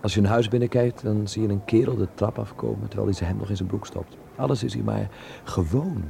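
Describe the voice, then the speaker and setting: An actor playing a shy, naive young man in a radio drama, husky and creaky, speaking with hesitant courtesy. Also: lowish voice, brisk, warm tone, slight background noise. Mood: hesitant, defensive.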